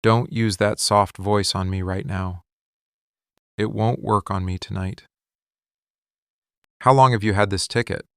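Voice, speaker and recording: Male actor as possessive mafia boss, audio roleplay. Very unhurried, gravel-edged, deliberate interrogator with a wounded streak. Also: fairly low pitch, steady tone, a bit bright, dry recording, clean studio sound. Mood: hurt, accusing. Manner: deliberate, clipped, interrogating delivery. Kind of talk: boyfriend ASMR roleplay acting.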